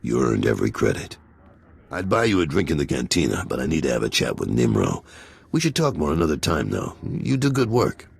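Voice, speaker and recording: Very deep, gravelly crime boss. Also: male voice, wildly swooping pitch, brisk, full character voice. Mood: wry, businesslike. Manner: blunt, dryly sardonic. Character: gruff male crime underboss, weary and sardonic. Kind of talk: video game character acting.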